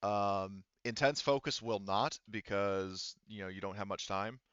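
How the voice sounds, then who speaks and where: A man running a tabletop roleplaying game as game master, explaining things casually in a halting way. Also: on the low side, steady tone, a bit bright, smooth, dry recording, muffled recording.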